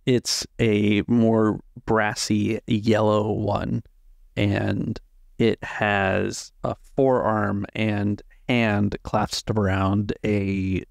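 Man, game master narration, lowish creaky voice, halting delivery, dry close sound. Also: a little mellow, no echo. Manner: measured, halting delivery with drawn-out words. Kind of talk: improvised tabletop game narration.

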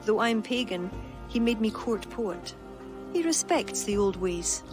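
An actress with an Irish accent playing a devout, steadfast young pagan court poet in a dramatic game cutscene. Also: female voice, medium pitch, expressive, measured pace, close to an everyday voice. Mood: solemn conviction. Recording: loud background music under dialogue.

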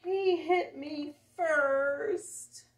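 A woman voicing an exasperated big brother, a boy who scolds emphatically in drawn-out words, in a children's storybook reading. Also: high voice, voice pushed up. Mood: annoyed, scolding. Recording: roomy, distant camera microphone.

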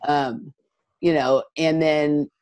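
A woman with a deep voice speaking candidly and reflectively in a discussion, with lots of fillers. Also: fairly even intonation, slow, darkish tone. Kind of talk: conversational discussion.

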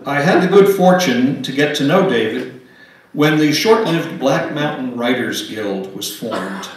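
An older man reading a measured, prepared introduction of a guest speaker from a pulpit. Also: medium pitch, animated. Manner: measured, read from notes. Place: church sanctuary, indoors. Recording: echoey hall, distant microphone.

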